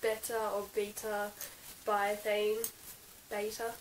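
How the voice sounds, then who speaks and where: Croaky aussie girl vlogging her horse stuff, giggly, lots of um and like, a bit far off in an echoey room. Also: mid-range voice, monotone, slow pace. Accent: Australian. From Australia. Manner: chatty, giggly, lots of ums and likes. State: croaky from being sick. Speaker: equestrian vlogger. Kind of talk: casual show-and-tell vlog. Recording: roomy, slightly distant with hiss.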